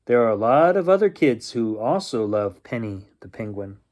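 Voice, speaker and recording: A man voicing a kindly, patient guardian in a children's storybook, gently instructive. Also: medium pitch, sing-song, moderate pace, close to an everyday voice. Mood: patient, explaining.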